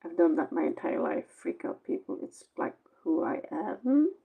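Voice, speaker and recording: A woman's voice: lowish voice, sing-song, moderate pace, vocal fry, breathy edge, phone-quality sound. A Norwegian-accented woman, a native Norwegian speaker, giving a casual late-night tarot reading in a blunt, sarcastic, rambling way, sounding tired. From Norway. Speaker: tarot reader.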